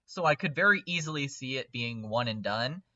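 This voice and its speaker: A man chatting casually and excitably as a fan on a podcast, with an emphatic, animated manner. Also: mid-range voice, dry recording, highs cut off. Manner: animated, emphatic, quick to laugh. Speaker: podcast panelist.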